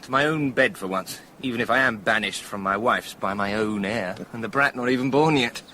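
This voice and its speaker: A man's voice: medium pitch, fairly quick, sharp tone, a little hiss or hum, close to an everyday voice. An actor in a British radio drama playing a hearty male deputy sheriff who banters and complains in mock grievance. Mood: mock-aggrieved. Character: teasing, hearty deputy sheriff, young expectant father.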